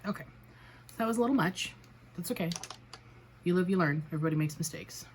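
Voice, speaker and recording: A woman vlogger chatting casually through a makeup tutorial, a little raspy from a cold, narrating each step with self-deprecating remarks. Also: on the low side, fast. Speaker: beauty vlogger.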